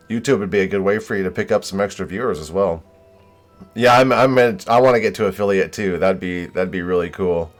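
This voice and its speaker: A young male streamer with a low voice chats to his viewers in a modest, halting way, often restarting his sentences. Game music plays faintly behind him. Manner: modest and hesitant, many fillers. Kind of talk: casual chat with viewers.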